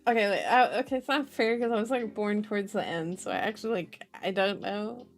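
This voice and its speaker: A woman's voice: medium pitch, fairly quick. A young woman hosting an ASMR read-aloud, with a playful, giggly manner and frequent chatty asides. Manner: playful, giggly, self-interrupting, avoids swearing. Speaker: ASMR channel host reading a novel aloud. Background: music.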